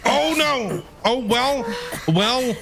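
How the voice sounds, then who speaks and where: A man's voice: very high voice, extremely slow, gravelly, noisy background. A man streaming a video game, reacting casually and expressively as he plays. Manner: animated, expressive. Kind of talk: casual live gameplay reaction, reading dialogue aloud.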